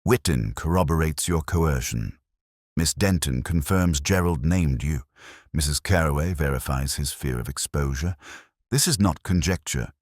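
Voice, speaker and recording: A man's voice: a bass voice, moderate pace, no echo, clean studio sound. A man voicing an imperious, shrewd detective in an audiobook, with formal, clipped, commanding pronouncements. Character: imperious, shrewd male detective, coldly judging.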